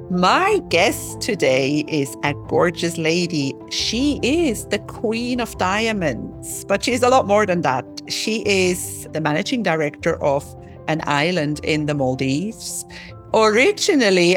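A woman hosting a podcast in Cyprus, upbeat and quick to laugh as she interviews her guest. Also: fairly low pitch. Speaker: podcast host.

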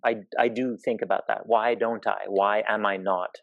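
Low-voiced, thoughtful man from Zimbabwe. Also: fairly even intonation, creaky, dry recording, dull audio. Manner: thoughtful, halting, searching for words. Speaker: interview guest, professional climbing route setter. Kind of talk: reflective conversation.

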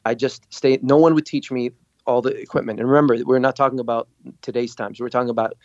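A man from Lebanon, a guest on a podcast, telling his story in a lively way and acting out what others said. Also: mid-range voice, fast talker, no room sound, highs cut off. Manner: animated storyteller, acts out quotes. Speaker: guest, singer and producer. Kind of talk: casual interview storytelling.

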